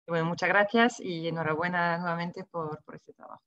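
A woman's voice: on the low side, steady tone, fairly quick, fairly bright tone, no echo. Woman, forties, French accent, native French speaker. Formal seminar remarks, reflective delivery, dry lo-fi earphone-mic call. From France. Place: small indoor room. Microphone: wired earphone mic on a video call. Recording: dull, lo-fi video call audio.

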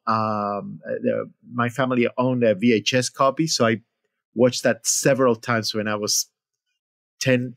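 A man in his forties from Argentina, a podcast guest who talks haltingly with many fillers and restarts. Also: mid-range voice, gravelly, dry recording. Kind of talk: interview conversation.

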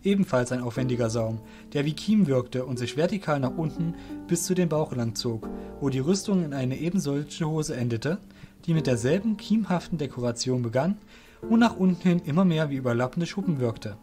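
A man reads scripted fantasy narration in a somewhat high voice. His delivery is deliberate and descriptive, and faint music runs behind it. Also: expressive.

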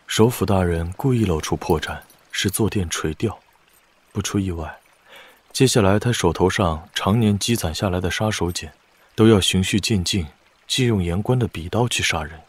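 An actor playing a calm, calculating blind male strategist in a wuxia audio drama, speaking in a measured, deliberate way. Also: low-pitched, slightly rough, no room sound. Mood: measured, analytical.

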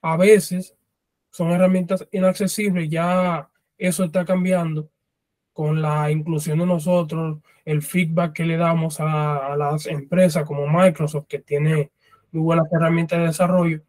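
Male online panelist, somewhat high voice, encouraging advice, little room sound. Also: level tone, a little slow, darkish tone, no echo. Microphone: video call.

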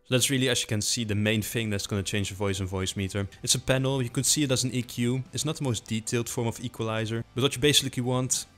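A man with a low voice giving a casual software tutorial, close on a desktop mic with a pop filter, explaining quickly with filler words. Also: fairly even intonation, soft background music. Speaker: tutorial host. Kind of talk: screen-recorded software tutorial. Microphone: desktop USB condenser mic with foam and pop filter.

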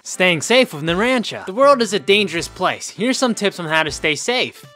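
A woman's voice: lowish voice, normal speed. Woman doing an eager naive anime kid type, swoops around, comic dub, faint music. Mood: earnest. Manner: animated, earnest. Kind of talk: cartoon comic dub acting.

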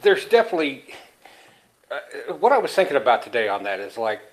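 A man's voice: medium pitch, big pitch swings, a little fast, low-quality recording. Folksy middle-aged man chatting. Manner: easygoing, folksy, rambling. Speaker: podcast co-host. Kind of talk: casual podcast chat. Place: small room indoors. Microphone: headset on a video call.

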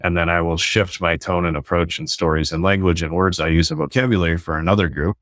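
Guy guesting on a podcast, low voice, earnest long-winded explaining, dry video call sound. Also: steady tone, fast talker, a bit bright, no echo. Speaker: guest author.

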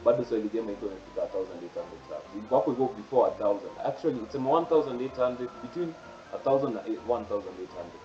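Young Kenyan host with a mellow voice. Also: male voice, fairly low pitch, expressive, rapid-fire, roomy sound, distant mic, highs cut off, faint music behind. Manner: playful, slips between English and Swahili. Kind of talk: casual chat. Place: plain room indoors. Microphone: earphone mic on a video call.

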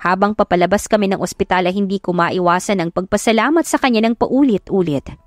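A woman's voice: medium pitch, fast, no room sound, clean studio sound. A woman with a Filipino accent giving a dramatic first-person narration of a story. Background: background music.

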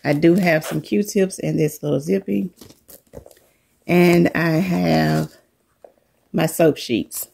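A middle-aged woman coming down with a cold, showing the contents of her bag to viewers in a relaxed, unhurried chat from her living room. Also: low-pitched, vocal fry, breathy edge. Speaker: vlogger. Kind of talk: casual show-and-tell chat.

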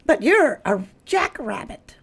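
Woman as a high-voiced, nitpicking little squirrel. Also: sing-song, slow, gravelly, slightly rough, exaggerated voice. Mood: pedantic. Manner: matter-of-fact, nitpicking. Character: small, pedantic ground squirrel in a children's storybook.

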